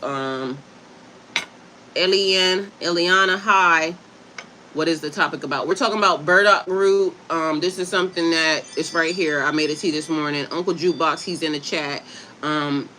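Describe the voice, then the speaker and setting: Bright, chatty woman livestream host. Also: low-pitched, a little hiss or hum, poor audio. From Delaware, USA. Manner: chatty, addresses viewers directly, stumbles over and spells out hard words. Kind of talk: casual livestream chat, reading aloud from a website.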